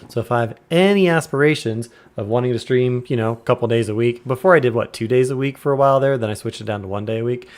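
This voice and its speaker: A man in his thirties chatting casually on a live stream from a quiet room at home, keeping his voice low on purpose and greeting viewers by name. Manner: deliberately quiet, subdued voice. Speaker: game streamer. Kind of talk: casual live-stream chat while gaming.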